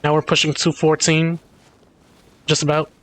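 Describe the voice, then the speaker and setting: Casual man, slightly high voice. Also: monotone, moderate pace, fairly bright tone. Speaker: game streamer. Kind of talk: casual livestream chat.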